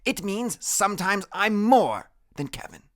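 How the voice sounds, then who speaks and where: A man voicing a tiny, fierce boy who shouts bold, commanding lines in a storybook reading. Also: sits high, very animated, a little slow, no room sound, clean studio sound, pitched above the performer's own voice, exaggerated voice. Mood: boastful.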